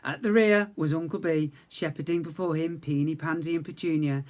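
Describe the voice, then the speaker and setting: Teacher reading a children's story. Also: male voice, fairly quick. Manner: lively reading, raises pitch for characters' speech; self-corrects slips mid-sentence.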